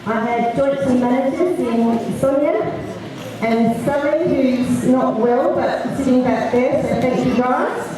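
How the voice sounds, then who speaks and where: A soft-spoken woman coach giving a prepared speech at a school presentation, with frequent ums. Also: high voice, slow pace. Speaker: youth soccer coach. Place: school hall with an audience. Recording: echoey hall, far from the mic, crowd noise.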